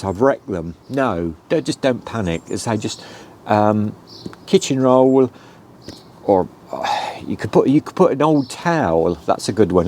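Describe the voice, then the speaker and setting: A middle-aged British man with a lowish voice, bunged up with hay fever, talks viewers through a chore in an easy, meandering way full of "um"s and "as I say". He is outdoors, with faint ambient noise behind him. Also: a little mellow. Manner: rambling, with many "um"s and "as I say". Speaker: vlogger. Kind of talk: casual how-to talk.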